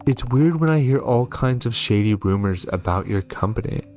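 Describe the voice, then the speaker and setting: A man's voice: mid-range voice, animated, normal speed, a little mellow, a little hiss or hum, natural voice. A man voicing a suspicious wife in a horror game, insistent and accusing toward her secretive husband.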